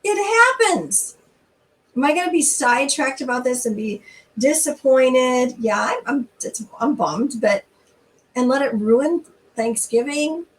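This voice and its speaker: A middle-aged woman hosting a church storytime, talking informally and reading aloud in an expressive, conversational way straight to her listeners, recorded slightly away from the mic. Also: high-pitched, measured pace, recorded from a distance, low-quality recording.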